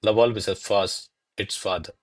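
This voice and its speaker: A man's voice: on the low side, steady tone, normal speed, no echo, natural voice. A man playing a diffident, formal male secretary who speaks deferentially to his lady in a radio play. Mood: earnest, pensive. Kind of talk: stage verse drama read as radio play.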